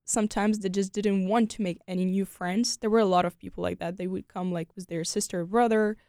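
A woman's voice: mid-range voice, steady tone, brisk, dark tone, no room sound, studio quality. A young woman from Ukraine with a Ukrainian accent, a speaker of Ukrainian and Russian, chatting casually as an interview guest and filling her talk with "like" and "you know". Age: young adult.

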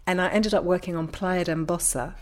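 A woman's voice: lowish voice, steady tone, brisk. A woman in her fifties with a London accent, a podcast guest telling anecdotes in a casual, conversational way. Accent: London English. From London, England. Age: 50s. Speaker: interview guest, craniosacral therapist.

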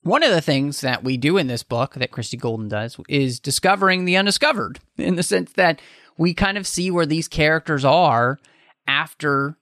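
A man hosts a casual podcast discussion with a mid-pitched voice. He talks informally and playfully, like someone among friends. The sound is dry, with very little room in it. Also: a bit bright, no echo. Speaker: podcast host.

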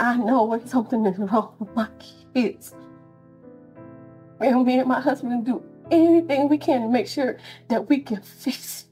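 Higher-voiced woman, earnest and pointed. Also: darkish tone. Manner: earnest, pointed direct address. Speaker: mother giving victim impact statement. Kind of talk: spoken victim impact statement in court. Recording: faint music laid under the voice. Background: faint instrumental music.

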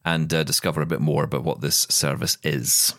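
A man's voice: very deep voice, steady tone, brisk, a bit bright, dry recording, clean studio sound. A Scottish man co-hosting a tech podcast, chatty and joking with plenty of fillers. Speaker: podcast co-host. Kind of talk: casual tech podcast chat.

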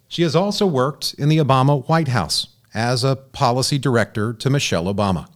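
A man hosting a studio interview, reading a guest's bio and then asking earnest questions with frequent restarts. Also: mid-range voice. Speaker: host.

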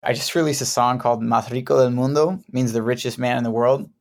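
A man's voice: medium pitch, steady tone, brisk, creaky. A young American man, a singer-songwriter guest, sharing his story in a conversational way with frequent restarts. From United States. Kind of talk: casual personal account.

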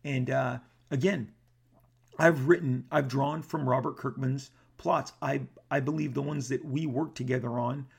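Gravelly man rambling with many restarts. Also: medium pitch, steady tone, a little slow. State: gravelly, clearing his throat. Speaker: comic book artist. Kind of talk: casual talk to camera.

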